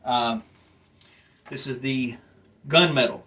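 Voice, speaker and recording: A man talks through an item from his collection in a casual, unscripted way. He thinks aloud as he goes, rambling into side remarks. His voice sits at an ordinary middle pitch for a man. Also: slow pace, bright, forceful tone. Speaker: collector reviewing a wrestling belt. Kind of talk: casual unscripted product review.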